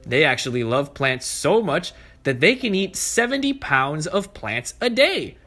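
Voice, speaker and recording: A man's voice: on the high side, very animated, measured pace, bright, forceful tone. A man hosting preschool story time, reading aloud and explaining things simply and expressively to young children. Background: background music.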